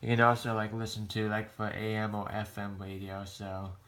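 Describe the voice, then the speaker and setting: An American man giving a casual show-and-tell in a halting, rambling, plain-spoken way. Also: fairly low pitch, completely flat delivery, fairly bright tone. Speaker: hobbyist vlogger showing a radio collection.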